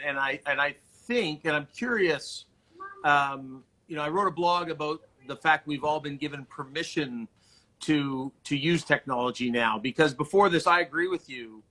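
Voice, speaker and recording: Bright-voiced male interview host, slightly high. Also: normal speed. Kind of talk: conversational video-call interview.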